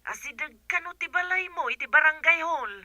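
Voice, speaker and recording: A pushy, lovestruck tomboy suitor with a piercingly bright, high voice and an Ilocano accent. She is insistent, in radio comedy-drama style. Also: a little fast, no echo, clean studio sound. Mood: pushy wooing.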